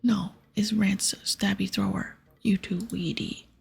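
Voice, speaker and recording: A woman voicing a bossy, dim-witted ogre hunter from a fantasy game in a breathy whisper, with blunt, broken grammar. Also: mid-range voice, steady tone, measured pace, exaggerated voice. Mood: blunt, scolding.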